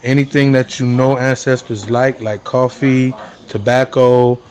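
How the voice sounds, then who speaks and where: Man, earnest, unhurried, lots of fillers, mid pitch. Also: flat delivery, a little mellow. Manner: earnest, unhurried, frequent fillers like "you know" and "um". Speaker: interviewee, spiritual practitioner. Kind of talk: casual conversational explanation.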